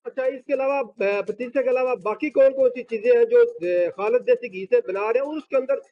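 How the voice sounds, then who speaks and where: A man reporting from outside a sweets factory, halting with fillers as he interviews. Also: very high voice. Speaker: field reporter. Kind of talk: news field report and interview. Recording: dull lo-fi field recording.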